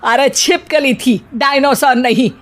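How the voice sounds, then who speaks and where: A bossy, sarcastic middle-aged mother-in-law from a family cartoon, acted by a woman. Her voice sits high, and she scolds in exclamations with a mocking edge. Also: a little slow. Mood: sarcastic scolding. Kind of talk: Hindi cartoon comedy dialogue.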